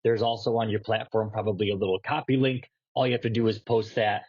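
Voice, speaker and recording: A man's voice: on the low side, flat delivery, fast, slightly rough, highs cut off. Congested, nasal man on a podcast. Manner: loose, rambling, swears casually. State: congested from a cold. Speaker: podcast co-host. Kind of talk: casual fitness podcast chat.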